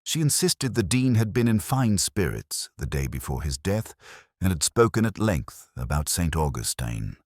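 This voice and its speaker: A man reading a scripted first-person detective story in a measured, brooding, literary manner. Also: deep voice, very animated, no room sound, clean studio sound. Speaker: narrator.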